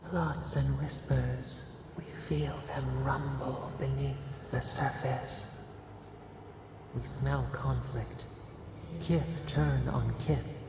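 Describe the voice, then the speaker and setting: An ancient game spirit broods in cryptic fragments, drawn out extremely slowly. The voice is heavily gravelled and creaky, solemn and otherworldly. It rings in a long, cavernous reverb over music. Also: medium pitch, steady tone, background noise, full character voice. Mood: brooding, solemn. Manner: slow, halting, cryptic short phrases. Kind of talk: solemn video game narration.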